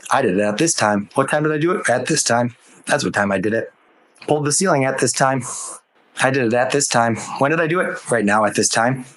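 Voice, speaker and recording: A man's voice: mid-range voice, lively intonation, brisk, a bit bright, natural voice. A man voicing a self-satisfied, long-winded firefighter giving over-detailed, repetitive radio reports in a comedy rant. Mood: smug.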